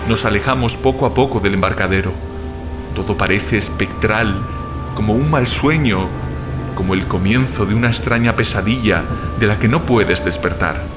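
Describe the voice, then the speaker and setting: A man's voice: on the low side, normal speed, close to an everyday voice. A male actor with a Spanish accent from Spain playing an alarmed, defiant, sarcastic brother in an audio drama. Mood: uneasy, brooding. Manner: agitated, incredulous, sarcastic. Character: alarmed, defiant, sarcastic younger-generation brother, bewildered and foul-mouthed. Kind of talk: Spanish audio fiction acting with inner narration. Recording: dramatic music bed under the voice.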